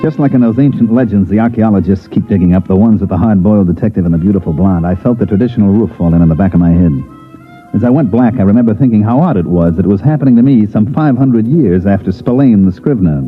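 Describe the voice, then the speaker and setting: A man's voice: on the low side, fast, phone-quality sound, lightly acted. An American man playing a wry, hard-boiled government investigator, acting and narrating in a sardonic 1950s radio drama style. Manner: wisecracking, sardonic delivery. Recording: thin, band-limited old radio recording with music.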